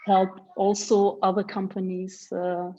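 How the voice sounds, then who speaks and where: A woman speaking slowly and in a steady, flat tone on a video-call panel. She hesitates often, filling gaps with "uh". The audio sounds muffled and lo-fi. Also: medium pitch. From Germany. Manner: hesitant, full of "uh" fillers. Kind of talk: panel discussion.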